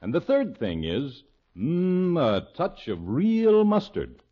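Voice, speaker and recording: A man's voice: on the high side, huge pitch swings, slow. An American man reading a chatty, scripted 1950s radio advertisement as a commercial announcer. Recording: muffled old radio broadcast.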